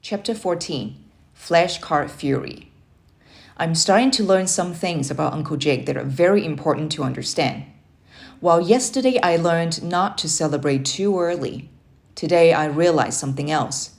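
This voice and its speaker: Low-voiced woman reading a children's book. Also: lively intonation, moderate pace, creaky. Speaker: read-aloud narrator. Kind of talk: expressive storybook read-aloud narration.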